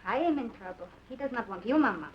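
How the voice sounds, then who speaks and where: A woman's voice: a little high, lively intonation, quick pace, a little hiss or hum, close to an everyday voice. A woman playing a teasing young senorita. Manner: teasing, forward. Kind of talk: 1940s radio acting. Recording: muffled old radio broadcast.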